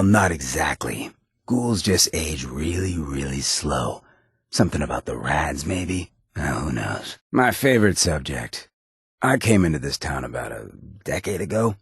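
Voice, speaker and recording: An American man playing a roguish, charismatic ghoul mayor in a video game, with a raspy, gravelly voice and a laid-back, streetwise swagger. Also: mid-range voice, a bit bright, no echo, clean studio sound, exaggerated voice. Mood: musing, casual. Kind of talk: video game character dialogue.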